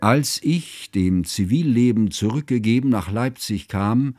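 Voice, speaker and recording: An actor playing a cultured, devoted friend who narrates a literary radio drama in a measured, precise and formal way. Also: male voice, on the low side, expressive, darkish tone. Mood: reflective, measured. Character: cultured middle-aged male narrator, devoted, bookish friend.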